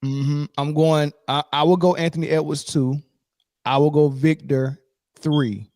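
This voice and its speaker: A man's voice: fairly high pitch, steady tone, measured pace, a little mellow, dry recording, studio quality. A man co-hosting a sports podcast, talking in loose slang with frequent restarts. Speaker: podcast co-host. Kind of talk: casual sports chat.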